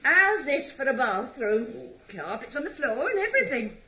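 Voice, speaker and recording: An actress in a radio play voicing a chatty, saucy, middle-aged English cleaning woman with a husky, flirtatious way of talking. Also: high voice, sing-song, normal speed, lo-fi sound, background noise. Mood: saucy, playful. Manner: flirtatious, gossipy, cackling. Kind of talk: radio drama acting.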